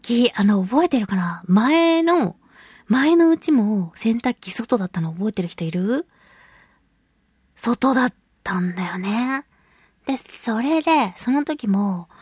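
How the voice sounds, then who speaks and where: A woman hosting a radio talk show on her own, chatting casually and telling stories in an animated, giggly, colloquial way. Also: mid-range voice, husky, no room sound, studio quality. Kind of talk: casual solo radio chat.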